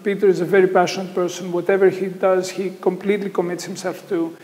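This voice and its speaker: High, flat-toned man in reverb. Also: quick pace. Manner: steady, praising, some hesitations. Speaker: speaker giving a tribute. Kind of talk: tribute interview.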